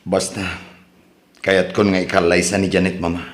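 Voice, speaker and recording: A man's voice: sits low, little pitch movement, moderate pace, lightly acted. A man playing a devoted, insistent young son in an Ilocano radio drama, with an Ilocano accent. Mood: pleading.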